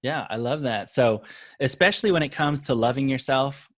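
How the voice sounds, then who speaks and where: A man's voice: medium pitch, moderate pace, no echo, clean studio sound. A man hosting a podcast interview, asking encouraging questions with many "you know" fillers. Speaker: podcast host.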